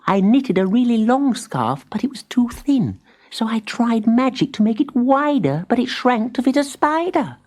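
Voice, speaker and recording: Woman voicing a chirpy, high little spider. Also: sing-song, normal speed, a little mellow. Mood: apologetic. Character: cheerful little female spider, kindly friend. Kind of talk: storybook character voice.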